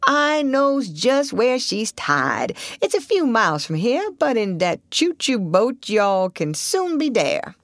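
A woman's voice: fairly high pitch, big pitch swings, slow, few pauses, no echo, studio quality, voice pushed up, heavily acted. Woman acting a drawling old Southern boatman, folksy and cheerful. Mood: reassuring. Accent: American South.

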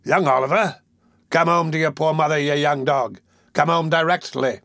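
A man's voice: on the high side, normal speed, fairly bright tone, dry recording, clean studio sound. Man doing a brutal, bullying ruffian. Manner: gruffly commanding, menacing. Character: brutal, bullying adult male ruffian with dog.

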